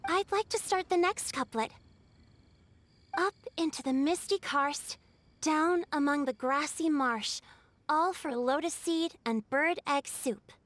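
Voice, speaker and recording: A very high-pitched, earnest young girl. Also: slow pace, fairly bright tone. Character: sweet, earnest, admiring young girl poet. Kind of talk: animated game voice acting with verse recitation. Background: music.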